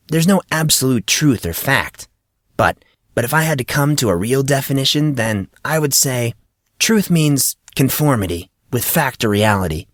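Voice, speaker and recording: A man acts out a clever, arrogant teenage boy in a storybook classroom. He speaks smoothly and with self-assurance, in a dry, close recording. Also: medium pitch, a bit bright, no room sound.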